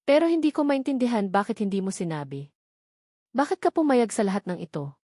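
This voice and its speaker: A woman voicing a brooding, wounded young male billionaire in an audio romance, serious and soft-spoken. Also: mid-range voice, very animated, fairly quick, no room sound, studio quality. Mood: serious, probing.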